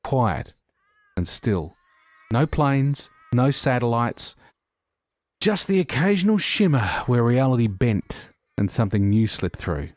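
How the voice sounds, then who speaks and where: A man narrating a scripted audio drama in a deliberate, dramatic manner. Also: mid-range voice, big pitch swings, darkish tone, no room sound.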